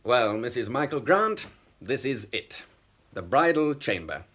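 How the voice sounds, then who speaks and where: A suave, genial young husband in a radio drama. His mid-pitched voice moves with lively, expressive intonation, affectionate yet guarded. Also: a little slow, background noise. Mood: genial, playful.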